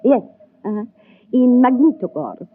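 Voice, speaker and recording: A woman's voice: a little high, very animated. An actress playing a shy, anxious Soviet rocket pilot in her twenties, speaking hesitantly in a radio drama. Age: 20s. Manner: hesitant, halting, stammering. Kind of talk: 1950s radio drama acting. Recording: noisy, phone-like 1950s radio recording.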